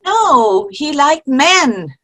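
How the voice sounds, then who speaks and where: Lively, high-voiced teasing grandmother played by a woman. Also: very slow, heavily acted. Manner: good-humored, teasing. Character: cheerful, teasing grandmother among old friends. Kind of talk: amateur play reading. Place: online video-call play reading.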